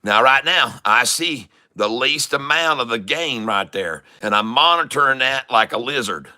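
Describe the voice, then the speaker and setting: Southern guy livestreaming, really sharp forceful voice, big exaggerated country drawl, cocky, cusses a bunch. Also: medium pitch, expressive, moderate pace. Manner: chuckles often, swears casually. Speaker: livestream stock trading host. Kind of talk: casual livestream chat, hype trading commentary.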